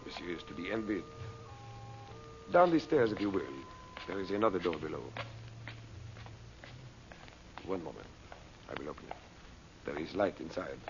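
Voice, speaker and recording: Casting: obsequious scheming sorcerer, low unctuous voice, radio serial, muffled vintage recording with hiss. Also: male voice, lively intonation, fairly quick, creaky, long pauses. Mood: quietly scheming. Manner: unctuous, over-polite, broken English phrasing. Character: sly, obsequious male foreign sorcerer, mock-humble schemer. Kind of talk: radio serial melodrama acting.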